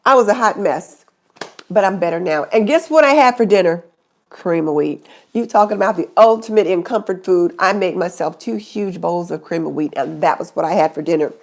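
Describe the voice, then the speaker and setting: A woman's voice: on the low side, fairly quick. A middle-aged woman hosting her own live stream, chatting casually and greeting viewers by name, with a stuffy nose from a cold. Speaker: YouTube channel host.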